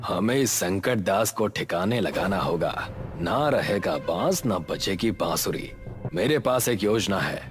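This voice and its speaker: A man's voice: low-pitched, expressive, unhurried, faint music behind. A man playing a surly, scheming village eatery owner in a storybook tale. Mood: sinister scheming.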